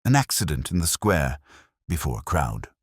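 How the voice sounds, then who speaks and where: A man voicing a mild, shrewd police inspector with an unhurried, dry, formal manner in a mystery story. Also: very deep voice, sing-song, husky, dry recording, exaggerated voice. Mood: thoughtful.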